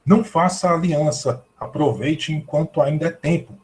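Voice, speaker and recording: A man's voice: fairly high pitch, normal speed, a little mellow, close to an everyday voice. A man playing an earnest veteran warrior who counsels formally and persuasively in an epic audio drama. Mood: earnest warning.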